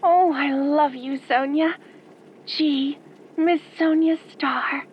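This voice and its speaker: A woman's voice: high voice, steady tone, slow. A woman playing an adoring, obsessive girlish fan calling a radio show, sugary and starstruck through a phone filter. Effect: phone caller filter. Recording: dull phone line with noise.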